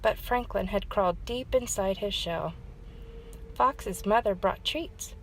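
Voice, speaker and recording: A woman's voice: medium pitch, slow pace, creaky, a little hiss or hum, low-quality recording. A woman hosting story time, reading a children's storybook aloud as narrator.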